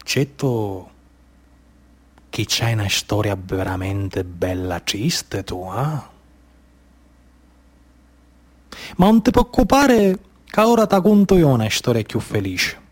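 A man with a Sicilian accent playing a gentle giant tuna, trapped in a cage, telling stories in a colloquial, reassuring way for a stage radio drama. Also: fairly low pitch, very animated, unhurried, voice pushed down.

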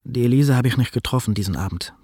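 An actor playing a guarded young butcher's son in a radio play, hesitant, with Yiddish-influenced German. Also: male voice, mid-range voice, fast, dark tone, no room sound, clean studio sound, close to an everyday voice. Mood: reflective. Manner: hesitant, inverted word order.

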